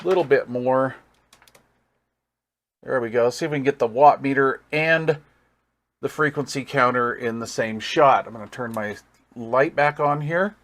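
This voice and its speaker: A man's voice: medium pitch, fairly bright tone. A man giving a casual hands-on product demonstration as a hobbyist reviewer, thinking aloud with folksy, easygoing enthusiasm. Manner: thinks aloud while working.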